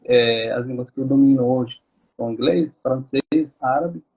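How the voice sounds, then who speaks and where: A man's voice: mid-range voice, a little slow, creaky, breathy edge, phone-quality sound. A Moroccan man speaking with a Moroccan accent, an interview guest talking casually with many fillers and restarts.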